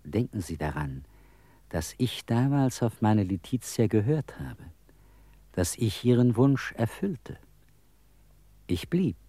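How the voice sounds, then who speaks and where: Bitterer Landstreicher, langsam und wechselhaft betont. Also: male voice, lowish voice, big pitch swings, mellow, no room sound, full character voice. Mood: reflective, earnest. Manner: halting, confessional, dramatic, sudden emphasis. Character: homeless old male drifter and beggar, bitter, wry. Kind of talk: German radio play acting.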